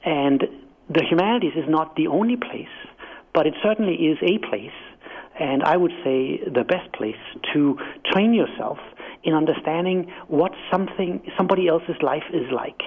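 A man's voice: a little high, animated, normal speed, slightly rough. A middle-aged man from Denmark with a Danish accent, a book author answering radio interview questions thoughtfully, with many fillers and restarts. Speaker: author and guest. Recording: phone-line guest audio.

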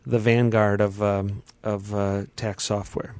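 Male advice host, calm and explanatory, dry studio voice. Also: fairly low pitch, clean tone, no room sound. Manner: measured, explanatory, dry humor. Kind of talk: radio advice talk.

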